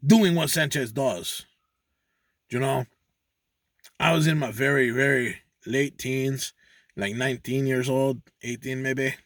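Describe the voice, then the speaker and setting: A man's voice: mid-range voice, fairly even intonation, takes it slowly, pauses often, no room sound, lightly acted. A man voicing a crude, boastful male donkey handler, rambling about himself in the third person in a comic monologue. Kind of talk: comedic character monologue.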